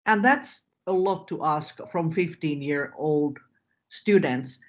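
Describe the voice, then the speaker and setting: A woman's voice: sits low, slow pace. A woman moderating an informal webinar, on slightly dull call audio. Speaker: moderator, teacher.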